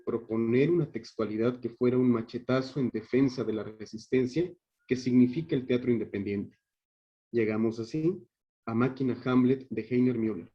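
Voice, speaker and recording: A man with a northern Mexican accent reading an academic paper aloud in a formal, literary manner, as a conference speaker. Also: mid-range voice. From Monterrey, Mexico. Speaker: conference speaker, theatre director. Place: video call. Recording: dull online call audio.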